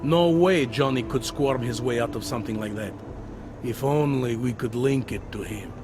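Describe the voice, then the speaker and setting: A man's voice: medium pitch, moderate pace, over music, close to an everyday voice. A man acting a world-weary, middle-aged police detective in a video game, with a hesitant, wry, conversational delivery. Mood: wry, musing. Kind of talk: video game cutscene dialogue.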